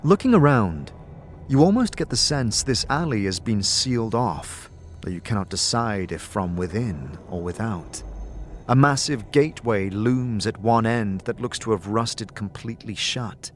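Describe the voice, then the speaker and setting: A man's voice: on the low side, expressive, measured pace, a little hiss or hum. A man reading dramatic, scripted second-person narration of a fantasy story. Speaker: narrator and game master. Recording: music under the voice. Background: background music.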